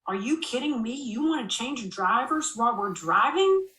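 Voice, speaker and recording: A woman's voice: a little high, expressive, moderate pace, a bit bright, pitched above the performer's own voice. Woman acting a nervous woman in trouble, echoey. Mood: incredulous. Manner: whining, pleading. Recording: roomy, echoing voice recording.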